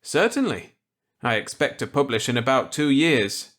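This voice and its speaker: A man's voice: mid-range voice, very animated, normal speed, fairly bright tone, voice pushed up. A man voicing a genial, wry, unrepentant scientist in a storybook reading, with clipped, breezy delivery. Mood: earnest, assured.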